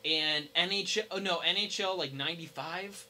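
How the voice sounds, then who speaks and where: A man's voice: on the high side, normal speed, sharp tone, slight background noise. A young man co-hosting a podcast, chatting casually in a rambling, informal way. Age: young adult. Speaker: podcast co-host. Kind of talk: casual chat.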